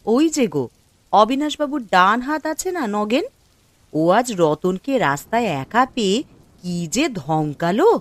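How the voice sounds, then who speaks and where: Woman playing an animated, indignant village woman. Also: mid-range voice, a little slow, no echo, lightly acted. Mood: gossiping. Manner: animated, complaining. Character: indignant, gossiping village woman, sympathetic to the poor. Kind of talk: Bengali cartoon story acting.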